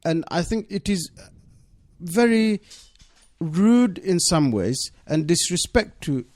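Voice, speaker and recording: A man whose first language is Bengali speaks as a guest on a radio interview, earnest and insistent, often leaning on "obviously". His voice sits on the higher side for a man. The studio sound is close and dry, with no room around him. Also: lively intonation, takes it slowly, a little mellow, no echo. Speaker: deputy mayor, studio guest.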